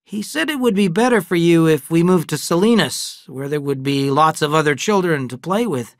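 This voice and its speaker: A man voicing a grave, widowed father of twins who speaks in a measured, earnest way. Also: on the high side, expressive, dry recording, clean studio sound, voice pushed up.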